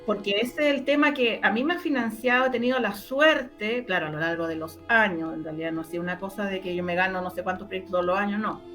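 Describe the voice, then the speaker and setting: Halting Chilean woman in an interview. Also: medium pitch, a bit bright, soft background music. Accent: Chilean Spanish. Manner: halting, repeats words and restarts often. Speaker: interviewed radio drama producer. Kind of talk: conversational interview answers.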